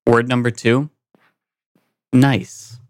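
A man's voice: mid-range voice, little pitch movement, very slow. A man co-hosting a podcast in a casual group conversation. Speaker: podcast co-host.

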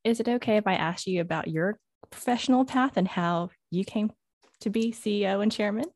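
A woman interviewer asking measured, thoughtful questions in a conversational tone. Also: medium pitch, a little mellow, dry recording.

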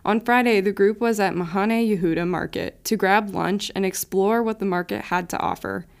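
A woman's voice: medium pitch, moderate pace, creaky. A woman reading scripture and a scripted personal reflection as part of a devotional. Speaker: devotional writer and reader.

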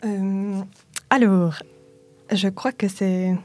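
A young woman, a singer-songwriter answering interview questions in a hesitant, soft-spoken way with many fillers. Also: mid-range voice, fairly even intonation, extremely slow. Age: young adult.

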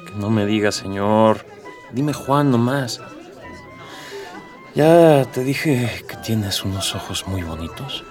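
A folksy Mexican everyman hero from a radio play. He is polite, colloquial and a little hesitant, with a lively melody to his lines and light music behind him. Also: fairly low pitch, slow pace, long pauses, distant mic. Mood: flirtatious. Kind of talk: scripted radio drama acting.

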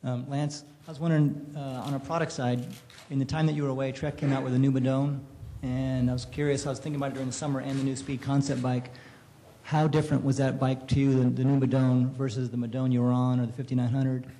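A man's voice: medium pitch, level tone, fast, warm tone, long reverb tail, slight background noise. A man working as a reporter, asking a long, rambling question at a press conference. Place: large room at a press conference.

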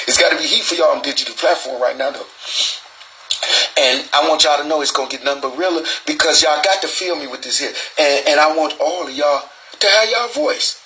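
A man's voice: on the high side, fairly quick, pushed and bright, a little hiss or hum, poor audio. A man, hosting his own show in a rambling, profane, preachy street monologue full of restarts and stutters. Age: 40s. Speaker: show host. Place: small recording booth.

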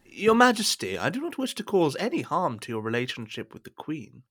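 A man's voice: a little high, huge pitch swings, fairly quick, fairly bright tone, heavily acted. An actor playing a scheming cardinal in an audio drama, formal and insinuating in his speech. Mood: earnest, scheming. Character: smooth, scheming cardinal and royal adviser.

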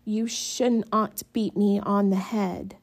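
A woman's voice: medium pitch, flat delivery, slow pace, creaky. A woman voicing a small, obedient little sister with plain country speech.

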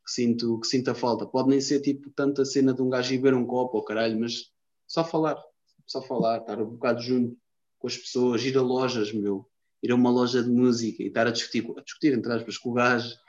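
Homem lisboeta calão, som abafado. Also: male voice, mid-range voice, flat delivery, fast talker, darkish tone. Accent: Lisbon Portuguese. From Lisbon, Portugal. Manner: slangy, swears a lot, rambling. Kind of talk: casual chat. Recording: dull lo-fi sound.

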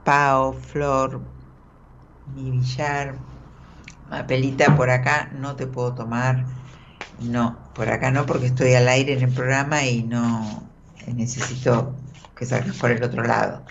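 A woman's voice: rumbling low, flat delivery, measured pace, a little hiss or hum. An Argentine woman hosting a live call-in tarot show, chatting informally and reassuringly with frequent tag questions. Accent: Argentine Spanish. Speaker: radio host and tarot reader. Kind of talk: live call-in advice chat. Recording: dull, band-limited audio.

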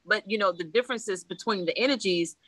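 A woman's voice: medium pitch, brisk, pushed and bright, no room sound. A woman from Haiti, a priestess, talking bluntly and animatedly in a casual conversation, laughing often and swearing freely. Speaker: Ifa priestess guest.

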